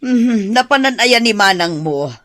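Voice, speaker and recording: Easygoing ilocano mom in a radio drama, talks slow, voice swings up and down. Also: female voice, on the high side, animated, a bit bright. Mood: teasing, unbothered. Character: easygoing, affectionate mother of grown daughters.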